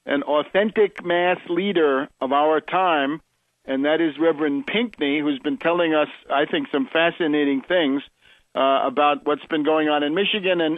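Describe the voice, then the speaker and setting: A man hosts a talk radio interview in a conversational, deliberate way. His voice sits a little high and is closely recorded with no room sound. The broadcast is slightly lo-fi. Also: no room sound. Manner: deliberate, restates points to check them. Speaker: political radio show host.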